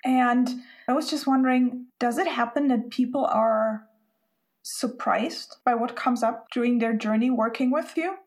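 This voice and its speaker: A woman from Spain hosts a relaxed podcast conversation. Her voice sits a little high. She thinks aloud in a reflective, exploring way. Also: a little slow. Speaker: podcast host.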